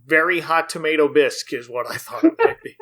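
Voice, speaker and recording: Bright, higher-pitched man chatting enthusiastically. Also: brisk. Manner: enthusiastic, amused, laughs often while talking. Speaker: podcast host. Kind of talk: casual episode recap chat.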